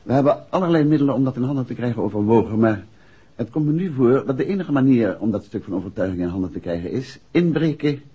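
Very husky, composed gentleman detective, muffled. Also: mid-range voice, lively intonation. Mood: measured, businesslike. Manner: measured, deliberate. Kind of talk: 1960s Dutch radio drama acting. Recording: muffled old 1960s radio recording.

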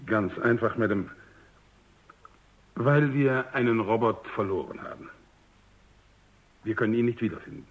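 A man's voice: mid-range voice, natural voice. A man playing a courteous general who explains things formally and carefully in a German radio drama, on a dull lo-fi recording. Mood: polite, matter-of-fact. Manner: formal, polite, measured. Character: courteous male general commanding a research base, explaining himself.

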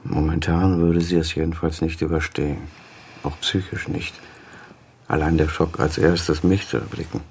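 A middle-aged professor and father with a very deep man's voice. He is weary, evasive and curt, in radio crime drama style, over faint background noise. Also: flat delivery, normal speed, creaky, darkish tone. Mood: troubled, guilt-ridden. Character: weary, defensive professor and doctor, father of grown son. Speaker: actor.

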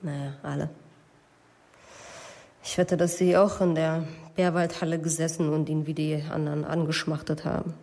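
A woman's voice: low voice, flat delivery, moderate pace, mellow. A woman acting in a German radio drama as a candid, defensive young woman being questioned, frank and slightly scornful.

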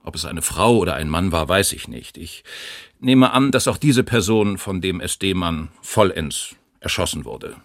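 An actor playing a wartime perpetrator who gives formal, factual eyewitness testimony in a documentary radio drama. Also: male voice, low voice, animated, measured pace, no echo, studio quality. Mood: formal, detached.

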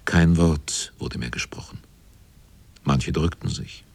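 An actor playing a sailor who narrates a radio drama in a grave, measured, brooding literary manner. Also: male voice, very deep voice, gravelly, slightly rough, heavily acted. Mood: grave, tense. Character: brooding sailor narrator looking back on the crew.

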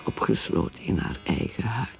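A woman acting in a radio drama as a bitter middle-aged mother in a breathy, half-whispered inner monologue. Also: normal speed, lightly acted. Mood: bitter, brooding. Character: bitter, brooding mother mourning her daughter's marriage. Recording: loud guitar music behind voice. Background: plucked guitar music.

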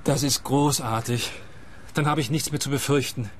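An actor playing a naive, bumbling detective from Germany, earnest and literal-minded, in a radio play. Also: male voice, medium pitch, fairly even intonation, moderate pace, over music, lightly acted.

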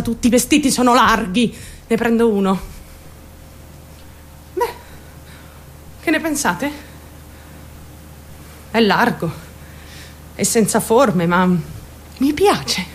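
A woman's voice: a little high, unhurried, long pauses, a little hiss or hum, lightly acted. An actress playing a troubled, obsessive and fearful young woman in a radio drama monologue, intense and questioning herself as she confides in onlookers. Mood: defiant, then eager. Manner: intense, self-questioning, shifting suddenly in tone.

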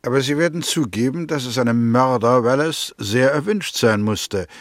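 A sceptical, formal male accuser played by an actor in a mid-century radio drama. His medium-pitched voice probes in measured, careful sentences. It is recorded dry, with no room sound. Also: no room sound, lightly acted. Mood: sceptical, probing. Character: sceptical male accuser calmly probing a murder case. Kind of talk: 1960s German radio play dialogue.

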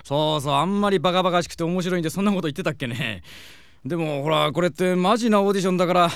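A man's voice: sits high, rapid-fire, clean tone, dry recording, studio quality. A man playing a flippant middle-aged record company boss in a comedic audio drama, with a casual way of talking. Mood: amused, dismissive. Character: flippant 42-year-old record company department head.

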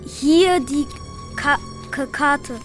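Cursed, stuttering forest figure played by a young female, high and slow, solemn school radio drama. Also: soft background music. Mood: halting, solemn. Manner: heavy stutter on first syllables. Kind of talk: amateur school radio play.

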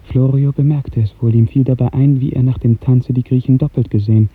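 Guy narrating old 1950s radio play, really breathy husky voice, earnest and lyrical, muffled narrow sound. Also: medium pitch, fairly even intonation, a little fast. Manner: earnest, lyrical, carefully enunciated.

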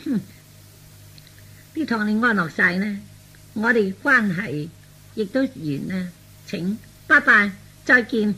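A man voicing a sharp, scolding young jilted fiancée in husky falsetto for a radio comedy. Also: expressive, slow, pauses often, full character voice. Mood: smug, curt. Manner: scolding, taunting, emphatic. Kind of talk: Cantonese radio comedy acting.

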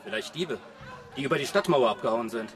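An actor playing an alert, watchful night guard on a town wall, in a German children's radio drama. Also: male voice, medium pitch, expressive, brisk, a faint crowd, close to an everyday voice. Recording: loud music and background commotion.

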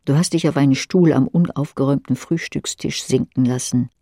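A woman's voice: extremely low pitch, steady tone, fairly quick, dark tone, no room sound, clean studio sound. A woman reading scripted literary narration in the second person for an audio drama.

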